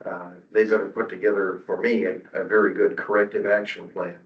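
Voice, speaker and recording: A man comments as a commission member at a formal meeting, deliberate and stern, with some halting. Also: sits low, little pitch movement. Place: indoor public meeting room. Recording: distant mic, echoey room, dull sound.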